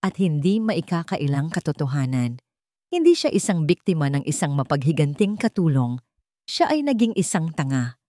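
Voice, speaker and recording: A woman storyteller giving a dramatic scripted narration with expressive delivery and pauses. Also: low-pitched, dry recording, studio quality.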